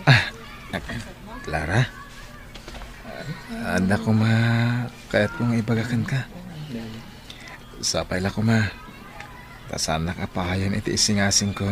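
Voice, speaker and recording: A man's voice: fairly low pitch, background noise. A man playing an earnest, devoted young suitor in Ilocano radio drama style, with an Ilocano Filipino accent. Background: music.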